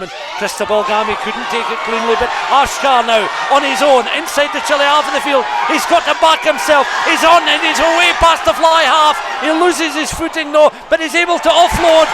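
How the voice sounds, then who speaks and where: A man giving detailed, descriptive live play-by-play rugby commentary for radio. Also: very high-pitched. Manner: descriptive, detailed scene-setting. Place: rugby stadium. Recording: crowd noise behind live outdoor commentary. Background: stadium crowd.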